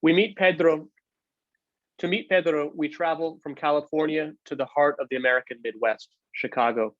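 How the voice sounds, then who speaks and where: A man reading a personal narrative aloud in measured, broken phrases, with an occasional slip and correction. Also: on the high side, fairly even intonation, no room sound, muffled recording. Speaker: book co-editor reading aloud. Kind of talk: read-aloud oral history narrative.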